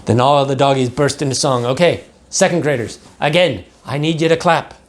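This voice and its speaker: Animated man reading a children's storybook aloud. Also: mid-range voice, moderate pace. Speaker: pastor reading a picture book to second graders.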